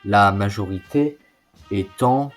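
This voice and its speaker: A man's voice: on the low side, slow. A man narrating an educational history video in an explanatory way, with informal asides. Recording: music behind the voice. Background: music.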